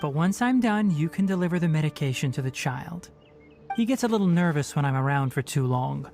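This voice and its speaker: A man voicing a calm, stern young male forest ranger in a game, speaking in a measured, matter-of-fact, slightly dry way. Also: on the high side, creaky. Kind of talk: game dialogue voice acting. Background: soft game music.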